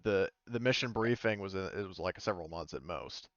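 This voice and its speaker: Smooth-voiced man, slightly low. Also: lively intonation, a little fast, fairly bright tone, dry recording, dull audio. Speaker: game master. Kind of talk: casual explaining and narrating of a tabletop game.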